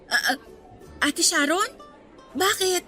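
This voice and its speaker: An actress playing a good-natured young college student in a radio drama, bantering in an expressive, lighthearted way. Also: female voice, very high-pitched, slow, faint music behind, exaggerated voice. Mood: mild, accommodating. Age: young adult.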